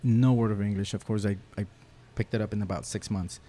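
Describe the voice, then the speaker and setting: A man's voice: lowish voice, fairly quick, a little hiss or hum. A man whose first language is Spanish, a podcast guest telling stories in casual chat and acting out other people's voices. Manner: animated storyteller who acts out other people's voices. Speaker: podcast guest, rock photographer.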